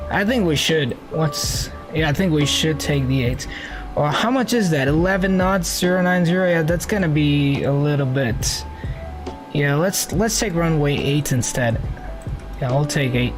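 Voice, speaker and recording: Chatty man streaming a flight sim. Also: a little high. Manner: chatty, thinks aloud while setting up the cockpit; greets and thanks viewers warmly. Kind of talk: casual live-stream commentary. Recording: music playing under the voice.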